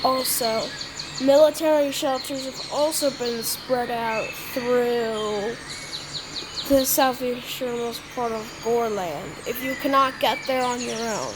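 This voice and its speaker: A woman improvising a mock emergency broadcast announcement in a halting way, often stumbling and repeating words. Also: on the high side. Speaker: announcer of a fictional emergency alert. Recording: noisy homemade recording, birdsong behind.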